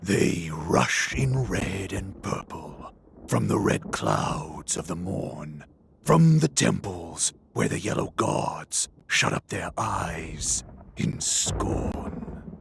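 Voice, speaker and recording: Slow, gravelly cyclops villain. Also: male voice, low voice, big pitch swings, a little hiss or hum, full character voice. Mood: ominous menace. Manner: slow, deliberate, pompous delivery. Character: menacing scheming cyclops villain, calm and contemptuous. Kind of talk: video game cutscene acting. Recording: game audio with music underneath.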